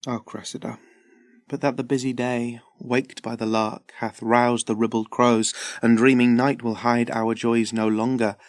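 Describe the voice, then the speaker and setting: A tender young lover from a Shakespearean radio play, acted by a man. His earnest voice sits at an ordinary male pitch, recorded dry with no room around it. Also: level tone, a little slow, no echo, lightly acted. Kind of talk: Shakespearean audio drama acting.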